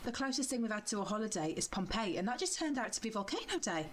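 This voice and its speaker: Woman playing a fast, feisty British companion. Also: mid-range voice, very animated, a little hiss or hum, natural voice. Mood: exasperated, sarcastic. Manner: brash, sarcastic. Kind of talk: sci-fi audio drama acting.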